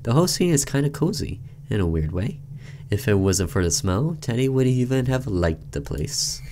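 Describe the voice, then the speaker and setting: A man reading visual novel narration aloud in a dramatic, deliberate storytelling manner, with casual commentary as a gaming host. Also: medium pitch, big pitch swings, mellow, slight background noise.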